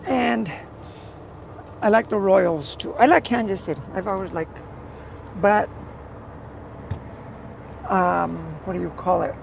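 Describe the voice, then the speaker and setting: A live streamer with a rough, gravelly voice, chatting casually and rambling while reading viewers' comments aloud and repeating words. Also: medium pitch, takes it slowly, pauses often, slight background noise, low-quality recording.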